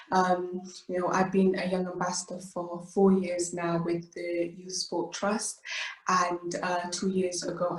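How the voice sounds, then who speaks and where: A woman's voice: on the low side, echoey room, rough recording. An eighteen-year-old woman, giving an earnest personal talk with many fillers and restarts. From Wales. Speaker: youth ambassador. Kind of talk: personal talk to an online event.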